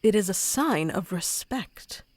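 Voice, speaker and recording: Woman playing a slow-spoken, obsessive female fox spirit. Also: medium pitch, animated, no echo. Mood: composed, instructive. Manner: intimate, theatrical, swinging between hushed and pleading. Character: obsessive, clingy, powerful female kitsune fox spirit familiar. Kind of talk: ASMR audio roleplay.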